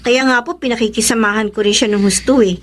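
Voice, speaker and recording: A woman's voice: on the high side, fairly even intonation, fast talker, fairly bright tone, slight background noise, lightly acted. A woman playing a polite, eager-to-please schoolgirl daughter in a Filipino radio drama. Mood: cheerful, eager. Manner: polite, deferential to parents and elder brother. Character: naive, eager-to-please schoolgirl daughter, loyal to her friend.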